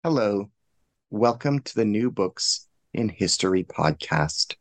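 Male host, very low gravelly voice, dry close sound, formal and courteous. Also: expressive, takes it slowly, no room sound. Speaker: podcast host.

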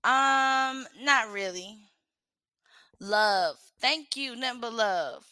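Slow, bright-voiced young woman, casual livestream host, dry close sound. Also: fairly high pitch, animated, dry recording. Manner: chatty, reads questions aloud and answers them frankly. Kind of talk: casual livestream self-reflection.

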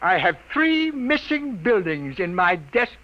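A man playing a brash, wisecracking newspaper editor in a 1950s radio drama, brisk, cocky and commanding. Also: high voice, very animated, noisy background. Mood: smug, showy. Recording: old radio broadcast recording.

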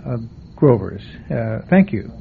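Tired stammering traveler. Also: male voice, mid-range voice, very animated, unhurried, creaky, heavy background noise. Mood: weary. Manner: hesitant, stammering with uh and um. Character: weary, nervous, superstitious traveling man. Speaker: traveling guest. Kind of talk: 1970s radio drama acting. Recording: tinny old radio broadcast.

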